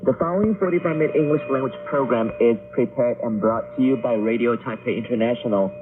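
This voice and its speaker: A man's voice: mid-range voice, fast talker. A man working as a radio host, announcing and chatting with a co-host. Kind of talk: radio announcing and co-hosted chat. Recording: tinny shortwave radio recording with music.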